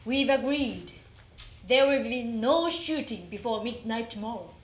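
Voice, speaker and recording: A woman recites in a declamatory readers theater style, phrase by measured phrase. Her voice is high, and the room gives it a noticeable echo. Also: expressive. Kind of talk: dramatic recitation, acting out dialogue. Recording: roomy echo.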